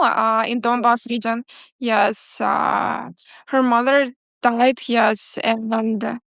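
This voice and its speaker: Slow, halting young woman, gravelly tone. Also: fairly high pitch, fairly bright tone, dry recording. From Crimea, Ukraine. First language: Crimean Tatar. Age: 20s. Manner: halting, many fillers and restarts, apologetic. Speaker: interview guest. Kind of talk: casual interview talk.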